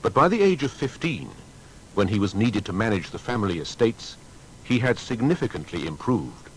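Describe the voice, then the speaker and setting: A man narrating a scripted biography in a measured, formal and dramatic way. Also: sing-song, low-quality recording. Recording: faint background rumble and noise.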